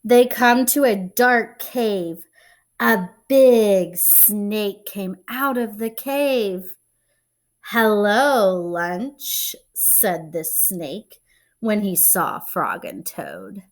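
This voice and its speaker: A woman reading a children's picture book aloud, expressively, pausing to explain and put questions to young listeners. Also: mid-range voice, animated. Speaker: read-aloud storyteller and teacher-like reader.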